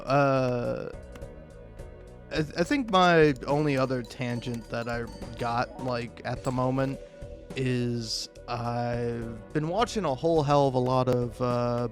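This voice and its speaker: A man giving casual, meandering gameplay commentary, swearing freely and poking fun at himself as he chuckles along. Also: mid-range voice. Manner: sweary, self-deprecating, meandering; chuckles often while talking. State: high on drugs. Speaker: video game streamer.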